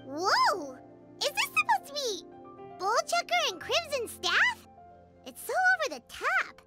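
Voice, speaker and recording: Squeaky, cheeky little fairy. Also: female voice, wildly swooping pitch, a little slow, exaggerated voice. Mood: amazed. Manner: exclamatory, squeaky, refers to self in third person. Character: tiny high-voiced floating fairy companion, cheeky and bossy. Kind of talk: video game character acting. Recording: music behind the voice.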